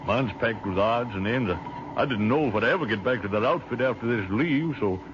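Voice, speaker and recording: An actor playing a plainspoken, weary American soldier with an American accent and folksy, thoughtful talk, in old-time radio drama style. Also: male voice, mid-range voice, fairly quick, natural voice. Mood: easygoing. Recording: noisy, muffled old radio recording.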